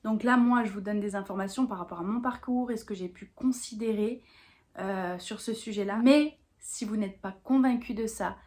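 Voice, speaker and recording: A woman's voice: medium pitch, moderate pace, a little mellow. French woman explaining faith matters earnestly. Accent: French from France. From France. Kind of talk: explanatory faith talk to viewers.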